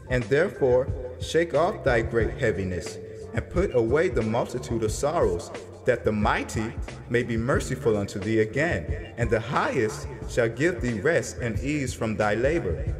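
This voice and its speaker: A man's voice: medium pitch, close to an everyday voice. A man playing a grieving, fearful prophet in a dramatized scripture reading, with solemn, pleading, archaic delivery. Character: grieving, fearful prophet recounting his troubled vision.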